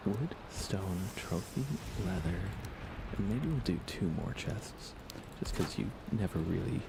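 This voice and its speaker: Soft-spoken male ASMR game streamer, deep and crackly, murmuring unhurriedly close to the mic over audible background noise. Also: expressive, gravelly. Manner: gentle, unhurried, thinking aloud. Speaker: live streamer, host.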